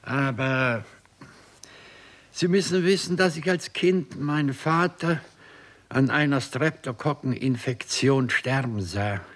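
Slow, husky, brooding doctor. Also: male voice, mid-range voice, fairly bright tone. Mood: grave, haunted. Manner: slow, deliberate, solemn storytelling. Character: brooding, obsessive male doctor experimenting on raising the dead. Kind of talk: 1960s German radio crime drama acting.

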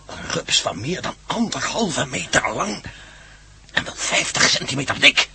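A suspicious adventure hero in a radio play, brisk questions, sharp bright tone, really lively pitch. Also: male voice, vocal fry, slight background noise, full character voice. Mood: amazed. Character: wary, probing male adventure hero just freed from captivity. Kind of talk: Dutch radio drama acting.

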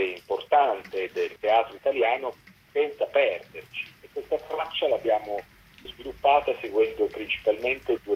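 A man's voice: lowish voice, slightly rough. A man explaining his documentary work at length in a radio interview, in long, articulate sentences. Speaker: documentary co-director being interviewed. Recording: dull, lo-fi phone-like line.

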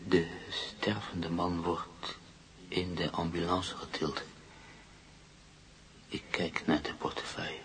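An actor playing a brooding bachelor narrator in an intimate radio drama, halting and confiding, often dropping to a murmur. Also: male voice, deep voice, flat delivery, creaky, fairly bright tone, heavily acted. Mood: entranced, halting. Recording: noisy radio play recording.

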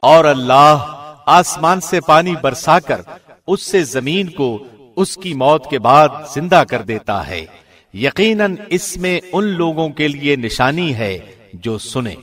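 Higher-pitched man reading scripture translation formally. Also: animated, fairly bright tone. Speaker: translation reader, radio presenter.

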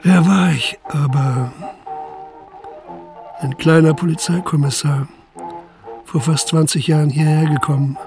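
Radio play role: methodical male police inspector. Lighter-pitched, measured, probing, factual, music underneath. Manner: measured, probing, matter-of-fact. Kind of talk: German radio drama narration and dialogue.

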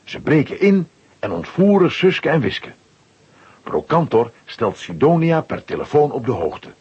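A male narrator tells a children's story with a very husky, breathy voice. His pitch is lively and mid-range, and the recording sounds slightly dull. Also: measured pace. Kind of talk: scripted storytelling for a children's audio play.

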